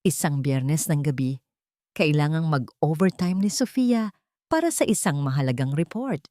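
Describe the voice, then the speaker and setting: A Filipino woman narrates a dramatic story in a fairly low voice with lively, swooping intonation. She delivers it in measured, emphatic phrases, and the recording is close and dry. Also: no room sound.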